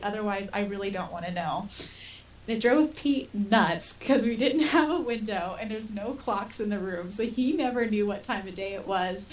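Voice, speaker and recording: Woman in her thirties, slightly distant mic. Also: medium pitch. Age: 30s. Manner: chatty, self-deprecating, colloquial. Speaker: podcast host. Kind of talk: casual personal storytelling. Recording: distant mic with faint hiss.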